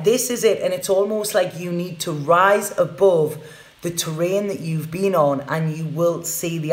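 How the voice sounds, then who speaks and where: A woman doing a live tarot reading for viewers, chatting casually and often ending her lines with "okay?". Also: on the low side. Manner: chatty, tags sentences with "okay?", repeats words. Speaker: tarot reader. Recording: roomy echoey room.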